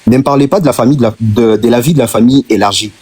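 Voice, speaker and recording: Man, congolese french accent, husky voice, mid pitch, insistent, rhetorical questions, live-stream debate. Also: very fast, a little mellow. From Democratic Republic of the Congo. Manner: rhetorical, insistent, repeats phrases for emphasis. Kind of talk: impassioned argument on a live stream.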